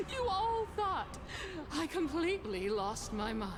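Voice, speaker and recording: An unhinged, powerful villainess from a video game. She speaks very slowly in a high voice that swings theatrically as she mocks, with clear noise behind her. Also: very animated, heavily acted. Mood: mocking, gloating. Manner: slow, theatrical, taunting. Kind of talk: dramatic video game acting.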